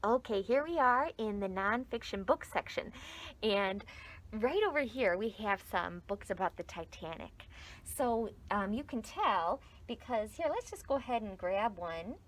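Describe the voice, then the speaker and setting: Female librarian, informal unscripted show-and-tell, low-level voice picked up from a distance. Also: animated, measured pace. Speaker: children's librarian. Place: library book aisle. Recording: quiet voice far from microphone.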